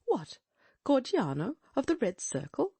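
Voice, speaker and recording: A woman voicing a keen, commanding male detective with a brisk, inquisitive, exclamatory manner in an audiobook. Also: high-pitched, huge pitch swings, gravelly, mellow, breathy edge, no room sound, voice pushed up, heavily acted.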